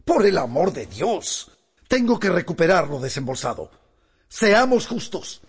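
A scornful pompous merchant, gravelly, voice swooping all over. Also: male voice, high-pitched, big pitch swings, a little slow, heavily acted. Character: stern, indignant male merchant and moneylender. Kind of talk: radio drama acting.

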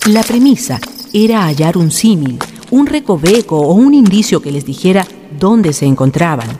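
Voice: medium pitch, big pitch swings, normal speed. A narrator reading a horror story in a formal, ornate literary style. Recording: music behind the voice.

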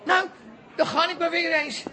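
An actor playing an awkward, plain-living man in a Dutch radio comedy, halting and stammering. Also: very high voice, fairly even intonation, sharp tone, heavily acted.

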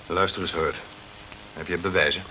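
A man's voice: deep voice, fairly even intonation, normal speed, close to an everyday voice. Man acting a sceptical, blustering British consul, noisy recording. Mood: wary. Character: sceptical, self-protective British consul in the French Antilles. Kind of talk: crime radio play acting.

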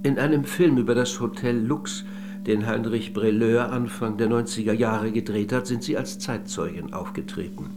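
An actor playing a polite but probing middle-aged interviewer in a radio play, with measured, insistent questioning. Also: male voice, mid-range voice, over music, natural voice. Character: courteous but probing male interviewer of an old lady.

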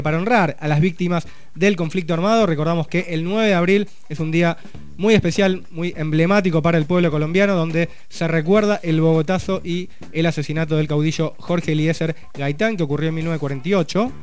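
Male radio host, fairly high voice, easygoing news chat over faint music. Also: clean tone. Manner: relaxed, conversational, reads news items with asides.

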